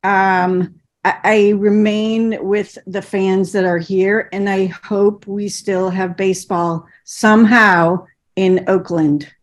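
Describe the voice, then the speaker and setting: A woman speaks slowly and in a subdued way as she calls in to a public meeting. Her voice sits in a middle register. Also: medium pitch, steady tone. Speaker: caller at public comment. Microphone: remote call audio.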